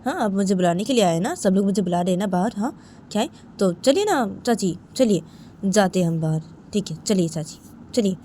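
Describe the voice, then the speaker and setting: A woman's voice: mid-range voice, expressive, fairly quick, mellow, a little hiss or hum, voice pushed up, close to an everyday voice. A woman voicing a flustered young daughter-in-law who protests insistently and repeats herself in a story. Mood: flustered, giving in. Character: young daughter-in-law, tearful, insistently denying she cried.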